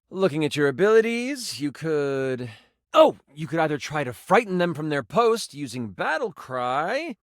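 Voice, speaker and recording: A man voicing an excitable, nerdy game master, narrating tabletop fantasy dramatically and dropping into casual, hesitant asides. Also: on the high side, no echo, clean studio sound. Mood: casual, unsure. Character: living D20 die, excitable nerdy male game master.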